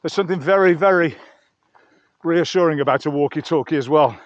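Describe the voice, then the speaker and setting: A man's voice: a little high, fairly quick, lo-fi sound. A man vlogging casually as he hikes outdoors, rambling and musing aloud in a chatty way. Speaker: vlogger reviewing gear outdoors. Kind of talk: casual narration to camera while hiking. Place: outdoors on a mountain trail.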